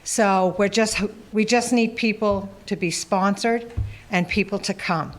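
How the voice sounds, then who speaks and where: A woman's voice: mid-range voice, a little slow. A woman organizer encouraging residents to volunteer for training.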